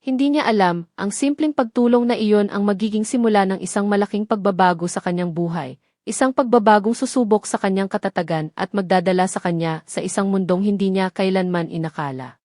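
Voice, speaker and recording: A woman's voice: medium pitch, a little fast, darkish tone, no room sound. Lady narrator telling a dramatic story, really dry sound, no room on it.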